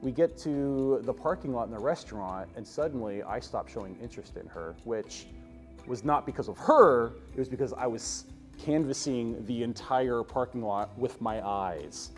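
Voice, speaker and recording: A male storyteller with a medium-pitched voice tells a comic personal tale over music. He is theatrical, stretching words out and leaning on them for dramatic effect. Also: lively intonation, normal speed. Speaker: storyteller host.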